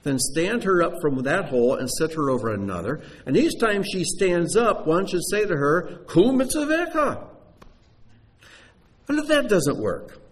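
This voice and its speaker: A man preaching and teaching, animated and emphatic, often dropping to a hushed voice. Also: on the high side. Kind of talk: sermon.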